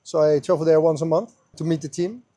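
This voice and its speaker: A man's voice: a little high, fairly even intonation, fast, mellow, dry recording. A man with a Dutch accent, a street interviewee who talks hesitantly with many fillers. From Netherlands. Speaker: interviewee, software company manager.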